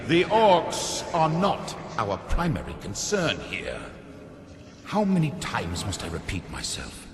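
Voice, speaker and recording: A man's voice: mid-range voice, wildly swooping pitch, takes it slowly, crowd noise, heavily acted. A man playing a haughty, insistent wizard ambassador in a fantasy game, formal, emphatic and exasperated. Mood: impatient insistence. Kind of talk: dramatic fantasy game cutscene acting. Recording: echoey hall with music behind.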